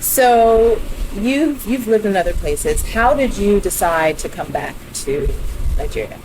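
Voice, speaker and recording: A woman's voice: medium pitch, expressive, unhurried, a little mellow, a little hiss or hum, poor audio. A woman, interviewing a guest casually as a podcast host.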